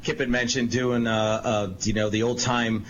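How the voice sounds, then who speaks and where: A man's voice: medium pitch, little pitch movement, normal speed, fairly bright tone. A man who works as a character voice actor, chatting casually and modestly as a guest. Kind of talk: casual interview talk. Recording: dull lo-fi line with clear noise.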